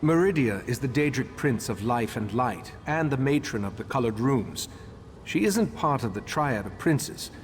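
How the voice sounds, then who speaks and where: An actor playing a scholarly, pensive elf ritemaster in a fantasy video game, formal and musing. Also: male voice, mid-range voice, lively intonation, moderate pace, natural voice. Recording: long hall reverb, faint background noise.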